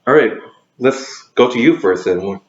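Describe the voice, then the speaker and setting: A man's voice: mid-range voice, lively intonation, measured pace. A man hosting a casual question game, talking with many fillers and restarts. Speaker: host. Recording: roomy, dull lo-fi sound.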